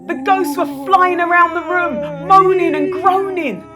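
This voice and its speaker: A woman narrating a children's story as a storyteller, with dramatic, expressive delivery. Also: very high voice, measured pace. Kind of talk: children's read-aloud narration.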